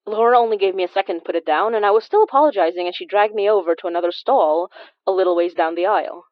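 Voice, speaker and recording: Casting: actress as sensitive young woman in audio fiction, anxious, stammering, gentle; mid-pitched, dry studio sound. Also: no room sound, dull audio, close to an everyday voice. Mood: reflective narration. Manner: hesitant, halting, stammering. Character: gentle, anxious young woman who senses haunted objects. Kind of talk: audio fiction acting with first-person narration.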